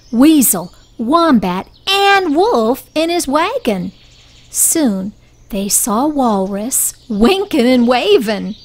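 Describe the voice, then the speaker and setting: A woman reading a picture book aloud for young children in a deliberate, expressive storytelling style. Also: fairly high pitch.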